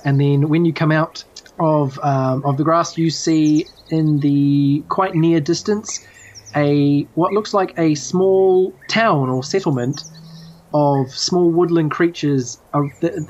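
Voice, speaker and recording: A man's voice: fairly high pitch, darkish tone, highs cut off. Man improvising as game master. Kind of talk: improvised tabletop game narration.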